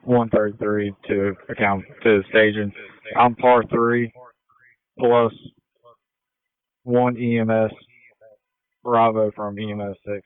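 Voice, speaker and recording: A man's voice: on the low side, flat delivery, takes it slowly, pauses often, smooth, no echo. A man, an emergency responder, checking in briefly over two-way radio. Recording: tinny, dry two-way radio.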